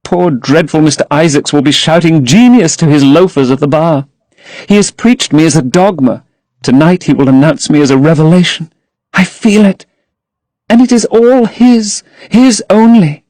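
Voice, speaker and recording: A man's voice: fairly high pitch, moderate pace, darkish tone, dry recording, voice pushed up, lightly acted. A man reading aloud and voicing a young woman actress, dreamy and infatuated, who gushes rapturously. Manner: rapturous, gushing.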